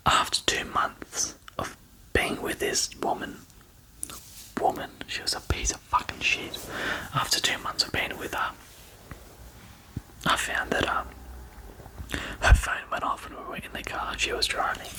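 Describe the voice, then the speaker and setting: A man's voice: a little slow, pauses often, sharp tone, rough recording. A man telling a personal story in a whisper, swearing often and using many fillers.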